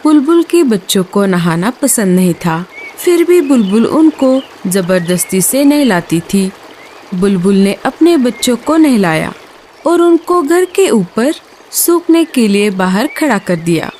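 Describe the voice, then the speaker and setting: A woman narrating a cartoon story in an expressive storytelling manner. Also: a little high, unhurried, slight background noise.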